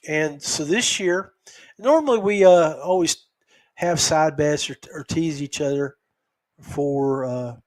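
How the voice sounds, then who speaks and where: Man, podcast host, slightly high male pitch, folksy, conversational, halting, unscripted recap. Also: rough recording. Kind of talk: casual unscripted fishing recap.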